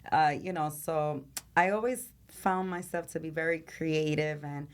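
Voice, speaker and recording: A woman talks with a guest's ease. Her voice sits low, and she speaks openly about herself, with frequent laughter and many "you know"s scattered through her sentences. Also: a little slow. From Venezuela. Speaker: guest, nightlife promoter. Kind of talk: casual interview chat.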